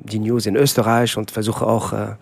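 A man's voice: on the low side, little pitch movement, normal speed, gravelly, husky. A man who grew up with French as his first language, a comedian and actor chatting casually in an interview, with many restarts and fillers. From half French, half Tunisian, raised in Germany.

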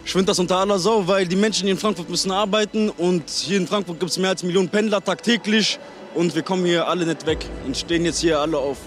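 A man's voice: sits high, fairly even intonation, fast, faint music behind. A man speaking spontaneously as an annoyed traveller in a street interview, blunt and complaining. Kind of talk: spontaneous vox pop.

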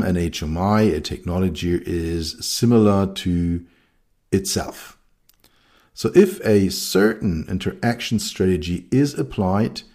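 A man's voice: low voice, a little mellow. A middle-aged man with a German accent, a consultant giving a thoughtful solo talk with frequent fillers and restarts. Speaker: consultant and keynote speaker.

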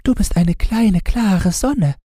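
A man's voice: high voice, takes it slowly, mellow, no room sound, clean studio sound, voice pushed up. A man voicing a sad, innocent little girl in a fairy tale, with plaintive, childlike questioning. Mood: hopeful pleading.

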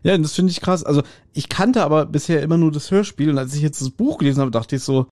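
A man's voice: fairly high pitch, fast talker, darkish tone, no room sound, studio quality. A man hosting a podcast, casually recapping a story and acting out quotes as he goes. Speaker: podcast host. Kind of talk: casual chat recapping an audio drama.

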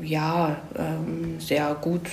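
Low-voiced woman explaining in an echoey room. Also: little pitch movement, extremely slow, a little mellow, a little hiss or hum. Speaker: screenwriter being interviewed. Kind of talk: conversational explanation of storytelling craft. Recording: roomy, echoey sound.

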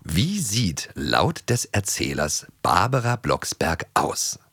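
A man's voice: low voice, sing-song, slow pace, a bit bright, breathy edge, dry recording, clean studio sound. A man from East Germany co-hosting a podcast in casual, playful chat. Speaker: podcast co-host.

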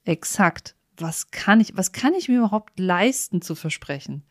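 A woman talks as a podcast co-host, thinking out loud in a casual conversation. Her voice sits at an ordinary middle pitch and the sound is dry and close. She comes across as candid and emphatic, happy to poke at herself. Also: expressive, moderate pace, dry recording. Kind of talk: casual reflective podcast chat.

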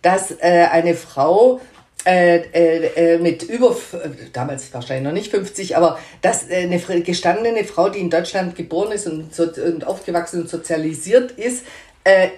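A woman's voice: fairly low pitch, normal speed, darkish tone, reverberant. A woman publisher answering interview questions in a hesitant, conversational way with frequent fillers and restarts.

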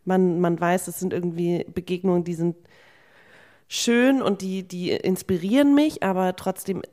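A woman's voice: fairly low pitch, fairly even intonation, normal speed, mellow. A young adult woman in casual podcast conversation, speaking colloquially with the odd English word mixed in. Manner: colloquial, sprinkles in English words. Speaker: podcast co-host. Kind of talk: casual chat.

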